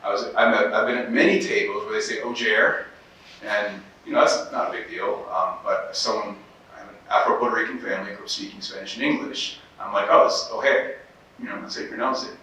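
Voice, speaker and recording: A man speaking on a convention panel, telling stories in an animated, emphatic way. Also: fairly low pitch, a little fast. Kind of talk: casual panel discussion. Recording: echoey hall from far off with faint noise.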